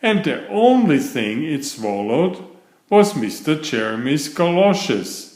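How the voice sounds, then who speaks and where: A man reading a children's story aloud in an expressive, deliberate, dramatic manner, stretching key words. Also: on the high side. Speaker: children's story narrator and author. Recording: roomy, echoey sound.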